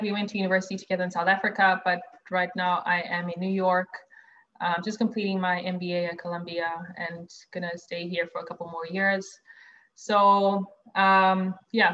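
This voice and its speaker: A woman's voice: fairly low pitch, fairly bright tone, lo-fi sound, a little hiss or hum. A young woman from Zimbabwe, a guest on a video call, telling her life story in a chatty, candid way with many fillers and restarts. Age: young adult. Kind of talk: personal testimony on a video call.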